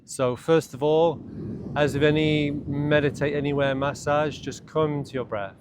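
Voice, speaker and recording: A man leads a guided morning meditation and self-massage in a gentle, unhurried, soothing way, speaking in short phrases. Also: fairly high pitch. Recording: clear steady background ambient noise.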